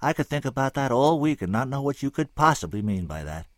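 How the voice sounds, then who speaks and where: A man's voice: medium pitch, sing-song, brisk, no echo, clean studio sound, pitched above the performer's own voice, natural voice. A man voicing a friendly, apologetic male drummer with a casual, upbeat manner.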